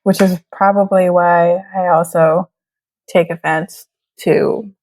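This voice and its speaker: A woman's voice: on the low side, fairly even intonation, slow, darkish tone, poor audio. A woman in her late twenties, from Trinidad and raised in Florida, speaking thoughtfully as an interview guest and laughing often. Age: late 20s. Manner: reflective, laughs often, many fillers. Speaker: birth photographer, guest. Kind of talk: casual interview chat.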